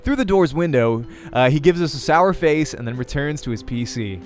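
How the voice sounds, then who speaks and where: A man's voice: on the high side, very animated, moderate pace. A man reading a visual novel aloud as the host of a let's play, narrating in character voice and prose. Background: music.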